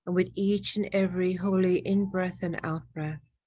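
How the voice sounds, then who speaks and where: A woman's voice: on the low side, steady tone, normal speed, dark tone, no room sound, highs cut off. A woman leading a spiritual guided meditation in a reverent, incantatory manner, repeating phrases in fragments.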